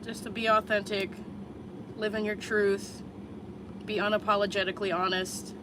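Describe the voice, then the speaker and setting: 30s woman vlogger, blunt and unhurried, mid-pitched voice, noisy outdoor stream with vehicle noise behind. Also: level tone. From Chicago area, USA. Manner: candid, blunt, swears freely, unhurried. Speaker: vlogger answering viewers live. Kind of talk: casual livestream chat.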